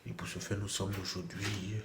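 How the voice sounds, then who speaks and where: A man with a cold talks casually to his phone camera in a small bathroom, his voice low and even. He repeats himself and restarts often, and the small room echoes around him. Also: moderate pace, background noise. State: has a cold. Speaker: vlogger. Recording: echoey room with faint ambience.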